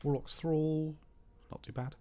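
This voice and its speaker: A slightly hoarse man giving rambling, wry, opinionated commentary while playing a game. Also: a little high, flat delivery, a little slow, warm tone. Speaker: gaming host. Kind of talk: casual gameplay commentary.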